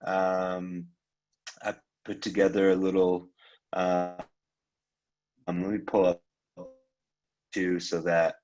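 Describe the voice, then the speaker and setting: A man from Iran giving a casual presentation on a video call, relaxed and loose, with frequent ums and restarts. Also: low voice, takes it slowly, long pauses, dull audio. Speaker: guest speaker, venture capitalist.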